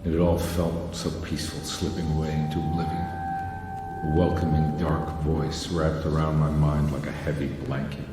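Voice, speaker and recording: A low-voiced male private detective from a 1930s horror game. He is brooding and world-weary, with music running under his words. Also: little pitch movement, moderate pace. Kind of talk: video game cutscene acting with brooding monologue.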